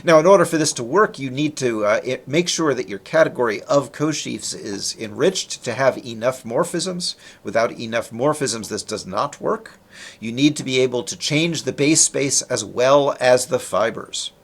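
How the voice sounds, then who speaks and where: Male lecturer, informal and conversational, animated intonation, explains technical material through questions and answers. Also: mid-range voice, moderate pace. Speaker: lecturer, researcher presenting.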